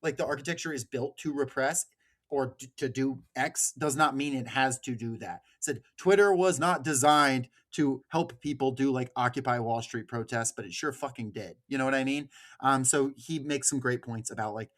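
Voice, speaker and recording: A man talks as a podcast host in a loose, conversational style. His voice sits at an average pitch, and he is animated and informal, swearing freely. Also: normal speed. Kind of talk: casual podcast discussion.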